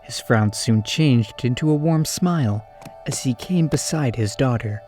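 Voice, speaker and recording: A man's voice: medium pitch, measured pace, a little mellow. A man narrating a scripted story as the narrator of an audio drama. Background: faint music.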